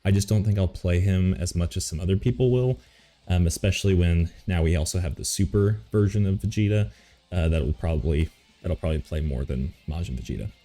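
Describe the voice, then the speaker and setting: Deep-voiced man, relaxed rambling gamer chat. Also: brisk, soft background music. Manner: rambling, relaxed, many ums and self-corrections. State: coming down with a cold, sniffling. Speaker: gaming video host. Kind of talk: casual commentary ranking game characters.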